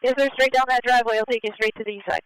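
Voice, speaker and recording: Fast-talking airship officer on the scanner, super clean voice, polite. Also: mid-range voice, fairly even intonation, a bit bright, smooth, dry recording, rough recording. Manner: quick, polite, sir-heavy replies. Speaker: police airship observer. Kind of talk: police radio traffic. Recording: dry scanner radio audio.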